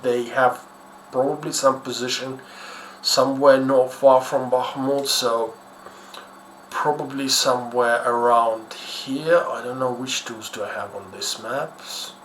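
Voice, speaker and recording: A man's voice: mid-range voice, fairly even intonation, a little slow, a bit bright. A man from Ukraine with a Ukrainian accent gives casual, unscripted commentary in a hesitant, rambling, blunt and self-deprecating way. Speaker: YouTuber host. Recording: echoey room with faint background noise.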